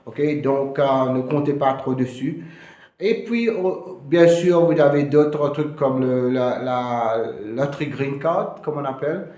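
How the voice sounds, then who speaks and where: Guy, lawyer, lots of ums, drops english words in, mid pitch, echoey room. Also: slow, mellow. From Mauritius. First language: Mauritian Creole. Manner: hesitant, many fillers, slips into English terms. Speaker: immigration lawyer. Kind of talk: casual explainer to camera. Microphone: camera.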